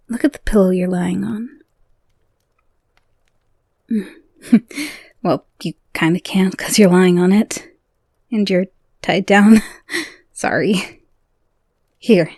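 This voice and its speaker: A slow, hushed young woman obsessed with her captive, as a woman acts her out, her murderous devotion carried in soft whispers. She drifts from chilling calm to frantic apology and back. The voice sits close and dry, with no room around it. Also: mid-range voice, no room sound. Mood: sinister, teasing. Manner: switches between menacing calm and frantic apology. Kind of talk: audio roleplay to a listener.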